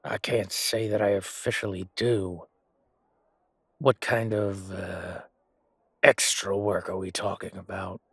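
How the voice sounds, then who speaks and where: A gruff merchant, acted by a man in a fantasy audio drama. He talks slowly in a low voice, guarded and suspicious of whoever he is questioning. The sound is dry and close. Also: flat delivery, fairly bright tone, dry recording, full character voice. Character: gruff, suspicious male merchant guarding his shady trade. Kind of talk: amateur audio roleplay acting.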